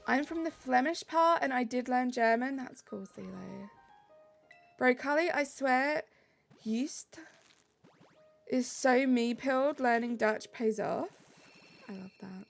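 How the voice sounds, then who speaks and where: Woman, British accent, casual stream chat, bubbly, gravelly fry, music faintly behind. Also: high voice, takes it slowly, pauses often. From Britain. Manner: chatty and bubbly, reacts to chat messages. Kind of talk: casual live-stream chat, reading chat aloud.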